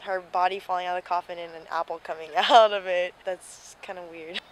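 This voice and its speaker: A woman's voice: lowish voice, steady tone, a little fast, low-quality recording. A woman giving casual answers in an informal interview.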